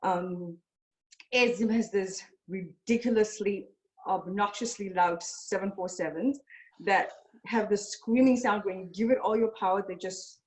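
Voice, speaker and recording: A woman's voice: medium pitch, unhurried, low-quality recording. A woman flight instructor talking hesitantly over a video call, with many fillers. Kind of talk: casual chat.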